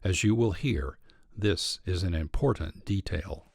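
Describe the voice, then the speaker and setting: A man's voice: deep voice, unhurried, creaky, darkish tone, slight background noise. An American man hosting a radio program with scripted narration. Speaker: radio show host.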